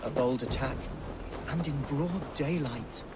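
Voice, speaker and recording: A man's voice: medium pitch, takes it slowly, natural voice. A man playing an earnest, unsure young Anglo-Saxon nobleman in a video game, somewhat hesitant in manner. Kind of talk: video game cutscene dialogue. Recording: clear outdoor ambient noise behind.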